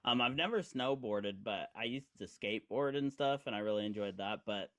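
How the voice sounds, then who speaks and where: A man's voice: on the low side, a little fast, fairly bright tone. A man in his forties from Indiana, hosting a casual online talk show and rambling through his points with lots of fillers and teasing asides. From Indiana, USA. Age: 40s. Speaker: podcast host. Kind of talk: casual live-stream chat.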